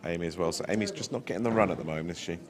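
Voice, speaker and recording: A man calls a pool match in a brisk, explanatory way. His voice is very deep, and he talks fast from one shot to the next. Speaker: lead commentator. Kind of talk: live pool commentary.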